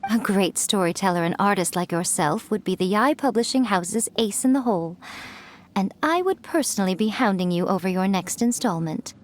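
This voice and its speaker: A woman's voice: medium pitch, expressive, normal speed, slight background noise, lightly acted. A self-assured female fox yokai acted by a woman in a game, coy and teasing. Her mid-range voice curls up and down with smug amusement, over faint ambience. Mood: pleased, playful. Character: sly, smug centuries-old female fox shrine spirit. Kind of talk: video game voice acting.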